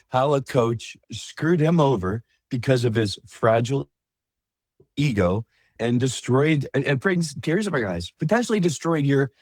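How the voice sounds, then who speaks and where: Animated male podcast host. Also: no echo. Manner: animated, acts out quoted voices with big pitch jumps. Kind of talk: casual storytelling chat.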